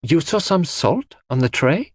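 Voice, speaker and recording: A man voicing a calm, courteous detective who questions people precisely in an audiobook mystery. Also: fairly high pitch, a little slow, no room sound, studio quality, voice pushed up. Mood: calm, probing.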